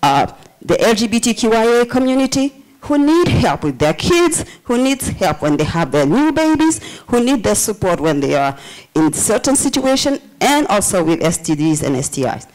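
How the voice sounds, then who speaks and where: A woman in her forties with a Senegalese accent gives public comment at a community meeting. Her delivery is passionate, and her pitch rises and falls in broad, animated swings. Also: normal speed, low-quality recording. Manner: passionate, animated, laughs often.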